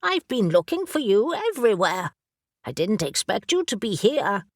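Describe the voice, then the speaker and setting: A woman's voice: medium pitch, big pitch swings, moderate pace, no echo, studio quality. A woman doing a bossy talking raven. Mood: impatient.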